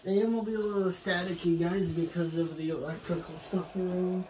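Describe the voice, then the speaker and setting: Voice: medium pitch, steady tone, a little fast, darkish tone. A person giving a casual, hands-on gadget review as a co-host, explaining things conversationally and asking questions. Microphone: phone filming close by. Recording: noisy amateur phone recording with static.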